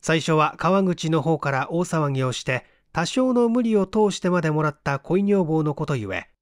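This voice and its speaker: A man narrating a literary mystery tale in a measured, dramatic storytelling manner with deliberate pauses. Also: on the high side, no echo, studio quality. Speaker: audiobook reader, stage actor.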